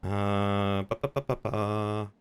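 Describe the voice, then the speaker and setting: A man's voice: on the low side, fairly even intonation, slow pace, smooth. A man chatting casually as he streams a game, often muttering half to himself.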